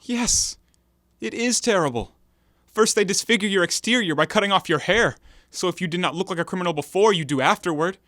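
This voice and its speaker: A man's voice: sits high, fairly quick, pushed and bright, no echo, studio quality. A man acting an anguished, earnest former convict in an audio play, with formal, declamatory diction. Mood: bitter, anguished.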